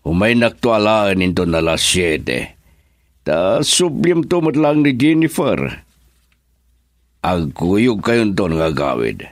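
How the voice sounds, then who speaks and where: A busy, commanding radio drama boss with a Filipino accent. His low voice moves slowly and deliberately as he hands out orders. The sound is close and dry. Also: very animated, dry recording, heavily acted. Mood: brisk, commanding.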